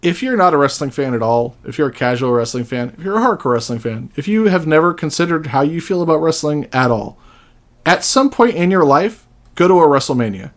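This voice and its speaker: A man's voice: fairly high pitch, sing-song, a little fast. A man appearing as a podcast guest, chatting casually. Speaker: podcast guest, wrestling writer.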